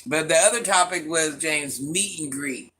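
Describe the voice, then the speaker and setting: A woman's voice: low-pitched, moderate pace, a bit bright, slight background noise. A woman hosting a casual livestream, reading viewer comments aloud and teasing them by name with a laugh. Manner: calls herself Granny.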